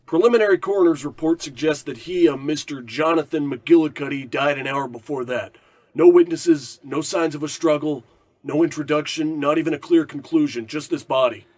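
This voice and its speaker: Man playing a formal, higher-voiced police sergeant. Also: a little mellow, no echo, lightly acted. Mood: businesslike. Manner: formal, brisk report delivery. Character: businesslike, deferential male police sergeant. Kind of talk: old radio police procedural parody acting.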